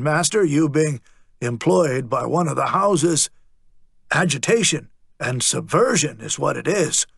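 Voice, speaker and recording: A coarse, servile male sergeant with a rough way of talking, bowing and scraping to his betters, as a storybook narrator puts him on. His voice sits a touch high and is recorded close and dry. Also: fairly bright tone, no echo, full character voice. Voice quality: rough, coarse working-class speech. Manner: servile, placating, wheedling. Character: fawning rough-spoken male city watch sergeant.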